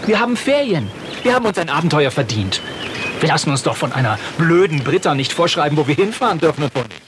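A man's voice: a little high, very animated, fast. An actor playing a brash, overconfident boy who leads his friends in a children's audio play, heard from a worn cassette. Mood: bossy. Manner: brash, overconfident, prim when polite. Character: bossy, reckless boy leader of the gang. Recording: hissy old cassette.

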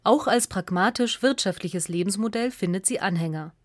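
A woman reads a scripted radio essay as narrator in a measured, articulate and factual way. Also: mid-range voice, no echo, clean studio sound.

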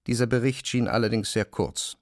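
A man's voice: medium pitch, steady tone, normal speed, no echo. A man reading scripted literary narration as the narrator of a classic novel, recorded close in a studio.

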